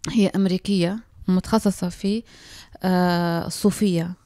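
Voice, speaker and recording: A woman in her thirties with a Libyan Arabic accent, a podcast guest who explains her points thoughtfully and pauses often. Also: on the low side, steady tone, mellow, dry recording, clean studio sound. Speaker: guest, applied linguistics lecturer. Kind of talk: casual conversation. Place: small home room. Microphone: handheld mic.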